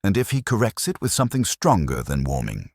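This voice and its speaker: A man's voice: sits low, huge pitch swings, fairly quick, slightly rough, dry recording, studio quality, pitched above the performer's own voice, full character voice. A man playing a wisecracking, street-smart private investigator in an audiobook, breezy and wry.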